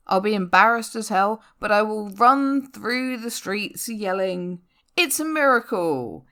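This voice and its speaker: A woman performing a comic spoken-word poem in a sardonic, theatrical and emphatic manner, with deliberate pauses. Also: mid-range voice, fairly bright tone. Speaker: poetry reader.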